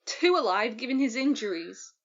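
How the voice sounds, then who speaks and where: A woman's voice: a little high, animated, normal speed. A woman playing a blunt, resolute character in a crisis, speaking dryly in an audio drama. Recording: dull, muffled sound.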